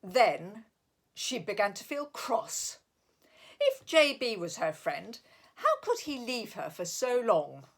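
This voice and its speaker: A woman's voice: medium pitch, sing-song, slow pace. A woman narrating a picture book expressively for young children, as its author.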